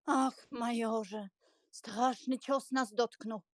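An actress playing a flustered, pious, sentimental elderly spinster aunt in an audiobook drama, plaintive and fretful. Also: female voice, on the high side, fairly even intonation, very slow, no echo, clean studio sound. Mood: flustered, confiding. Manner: plaintive, fretful, easily shocked.

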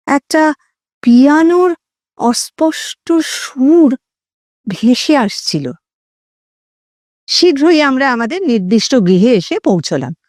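A woman narrates literary fiction in a fairly high, very animated voice. Her delivery is dramatic and deliberate, captured in a dry, close sound. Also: dry recording. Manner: dramatic, deliberate phrasing with acted dialogue. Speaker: audio story narrator and host. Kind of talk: expressive literary story narration.

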